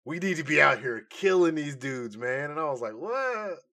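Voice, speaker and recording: A man's voice: fairly high pitch, very animated, moderate pace, pushed and bright. A man chatting casually and giving opinions as a podcast co-host, with slangy, conversational phrasing. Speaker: podcast co-host reviewing nerd culture. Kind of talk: casual review chat.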